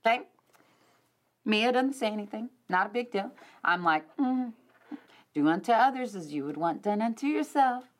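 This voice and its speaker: A middle-aged woman hosting a podcast, telling a story in an animated, casual way and addressing listeners directly. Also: a little high, moderate pace, long pauses. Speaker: podcast host.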